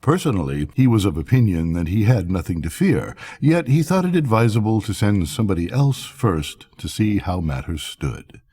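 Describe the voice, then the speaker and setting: A man's voice: fairly low pitch, very animated, moderate pace, hardly any pauses, dark tone, breathy edge. An older man reading a bedtime story expressively, as a storyteller.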